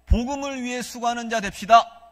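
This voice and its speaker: A man's voice: very high voice, brisk, a bit bright. A male pastor with a Gyeongsang accent preaching a sermon, asking the congregation questions and leading them in reading scripture aloud. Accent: Gyeongsang Korean. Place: church sanctuary. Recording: long reverb of a church hall.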